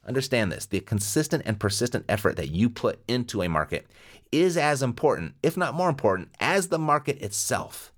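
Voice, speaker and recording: A man's voice: medium pitch, expressive, fairly quick. A man hosting an investing podcast, giving motivational advice and repeating key phrases for emphasis. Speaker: podcast host. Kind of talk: energetic podcast monologue and interview.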